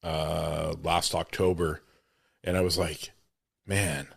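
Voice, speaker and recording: Deep-voiced easygoing man host. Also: flat delivery, takes it slowly, creaky, fairly bright tone, husky, no echo, clean studio sound. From United States, Oregon. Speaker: podcast host. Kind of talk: casual interview conversation.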